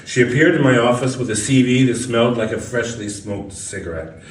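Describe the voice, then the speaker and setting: A man's voice: mid-range voice, fairly quick. A man reading a first-person short story aloud as scripted narration, now and then stumbling over a word. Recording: echoey room, distant mic, background noise.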